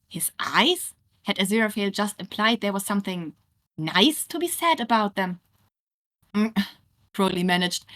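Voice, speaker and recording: A woman reading fan fiction aloud as an expressive scripted narration. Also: mid-range voice.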